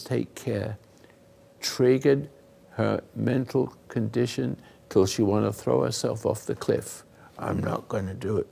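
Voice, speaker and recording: An older man hosting a talk, giving rambling, earnest moral teaching to a live group, often dropping into hushed asides. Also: medium pitch. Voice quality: gravelly, husky. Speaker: host and spiritual counselor.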